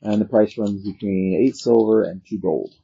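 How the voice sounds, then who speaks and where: A man's voice: lowish voice, normal speed, gravelly, warm tone, a little hiss or hum. A man casually narrating a tabletop roleplaying game as the game master. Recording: dull lo-fi sound.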